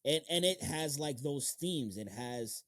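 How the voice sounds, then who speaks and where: A man's voice: a little high, animated, a little slow, a bit bright. A man hosting a casual interview podcast, talking in slangy, emphatic, heavily profane language.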